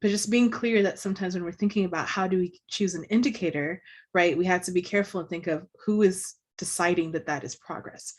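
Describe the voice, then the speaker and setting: A young woman from Tanzania speaks thoughtfully on a panel. Her voice sits a little low and her manner is earnest and reflective. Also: brisk, breathy edge. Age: young adult. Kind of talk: panel discussion.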